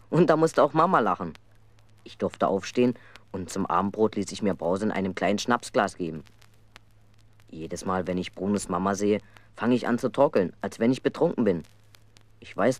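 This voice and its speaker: A man's voice: on the low side, dry recording, natural voice. A man narrating a children's radio play as a mischievous, aggrieved schoolboy telling his own story.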